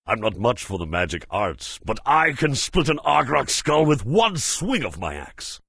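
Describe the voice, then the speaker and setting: An actor playing a proud, hearty axe-wielding mercenary in a fantasy game, gruff and husky, with formal, self-assured speech. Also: male voice, medium pitch, huge pitch swings, unhurried, fairly bright tone, no echo, exaggerated voice. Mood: proud, cordial. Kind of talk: fantasy game character acting.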